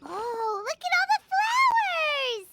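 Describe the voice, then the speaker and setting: A woman voicing a small, chirpy, childlike fairy companion in a video game, excitable and blunt. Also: extremely high pitch, slow pace, heavy background noise, full character voice. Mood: excited, fretting. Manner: childlike, exclaiming, speaks of self in third person.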